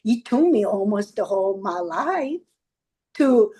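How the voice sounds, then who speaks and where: A woman's voice: mid-range voice, sing-song, unhurried, mellow, slightly rough. A woman from South Korea with a Korean accent and Korean as her first language. She gives earnest, encouraging advice in casual conversation and repeats her key points. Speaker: guest.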